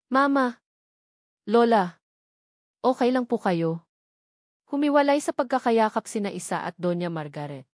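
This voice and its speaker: A woman's voice: medium pitch, a little mellow, no echo, studio quality. A woman narrator reading a scripted romantic drama story in a dramatic storytelling style.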